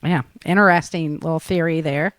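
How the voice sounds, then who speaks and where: A woman's voice: sits low, fairly even intonation, normal speed, no room sound. An American woman hosting a podcast in a casual, chatty, slangy way. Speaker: podcast host. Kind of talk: casual upbeat podcast chat.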